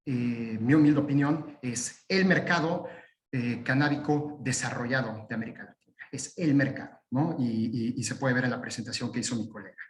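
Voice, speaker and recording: A man giving a webinar talk in a roomy-sounding space over a video call. He speaks at a mid pitch, pausing often on "eh" and restarting his phrases as he works through his points. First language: Spanish. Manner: halting, frequent "eh" fillers and restarts. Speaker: guest lawyer. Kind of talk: webinar presentation.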